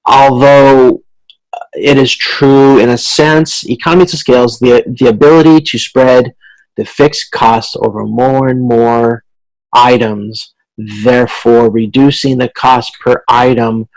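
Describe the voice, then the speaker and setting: A male instructor delivers an informal online-style lecture in a creaky, gravelly voice. His pitch sits in an ordinary middle range. He explains step by step in a halting way, often restating and correcting his phrasing. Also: fairly even intonation, poor audio. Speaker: college course instructor.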